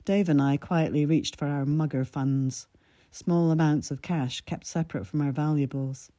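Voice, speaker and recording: A woman in her sixties from Scotland, with a mid-Atlantic accent carrying a Scottish lilt, reading her own personal essay in a measured, reflective way. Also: deep voice, steady tone, dark tone, dry recording, clean studio sound. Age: 60s.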